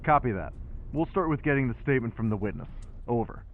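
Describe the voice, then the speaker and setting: A man's voice: medium pitch, expressive, fast talker, phone-quality sound, slight background noise, close to an everyday voice. A man playing a polite, eager patrol officer in an audio drama, procedural and deferential. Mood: dutiful. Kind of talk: naturalistic audio drama acting.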